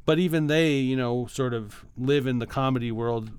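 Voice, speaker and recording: Smooth-voiced man in casual podcast talk. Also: mid-range voice, darkish tone. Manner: halting, hedging, explains by analogy. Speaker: podcast co-host. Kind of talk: casual music discussion.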